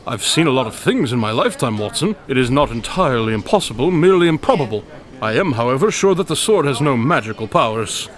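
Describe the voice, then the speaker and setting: Man acting a wry, pompous gentleman detective. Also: mid-range voice, lively intonation, fast, close to an everyday voice. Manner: dry, pompous, precise wording. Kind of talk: radio drama acting.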